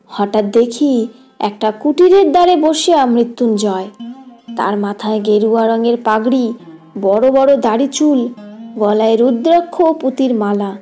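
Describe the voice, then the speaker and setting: A woman's voice: fairly high pitch, a little slow, dark tone. A woman reading a literary story as an audiobook narrator, in a scripted storytelling style. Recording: guitar music behind the voice. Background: plucked guitar music.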